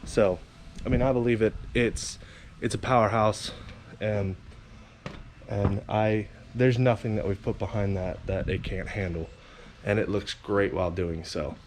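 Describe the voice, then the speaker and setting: A man's voice: lowish voice, fairly even intonation, a little slow. A man in his twenties chatting casually to the camera as a vlogger, rambling and circling back over his own words. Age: 20s. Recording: loud vehicle and ambient noise.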